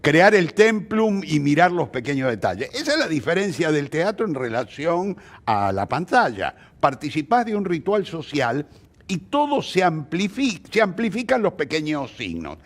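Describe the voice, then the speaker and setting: A man with an Argentine accent answering in a conversational interview, expressive and fond of illustrating his points with examples. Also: on the high side, normal speed. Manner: expressive, illustrates with anecdotes and acted quotes. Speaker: theatre practitioner interviewed as guest.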